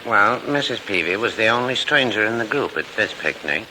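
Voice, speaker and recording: A man acting a mild, earnest, literal-minded older husband in a 1940s radio comedy, deliberate and folksy. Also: lowish voice, expressive, pushed and bright, low-quality recording. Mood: earnest reminiscing. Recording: hissy old radio recording.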